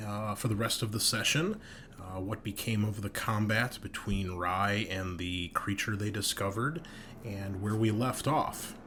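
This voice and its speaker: A man's voice: on the low side, a bit bright. A man hosting as game master, recapping a tabletop session in an unscripted, matter-of-fact, explanatory way.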